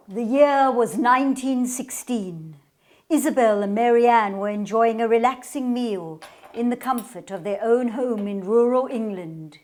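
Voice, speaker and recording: A woman performer presenting a radio play in a deliberate, theatrical storytelling style. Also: a little high, a little mellow. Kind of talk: theatrical scripted narration and demonstration.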